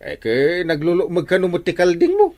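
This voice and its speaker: An actor playing a comic village uncle in an Ilocano radio comedy drama, exclaiming and stammering with an Ilocano Filipino accent. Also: male voice, sits high, wildly swooping pitch, moderate pace, darkish tone, no room sound. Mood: affable. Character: village uncle, stuttering comic authority figure.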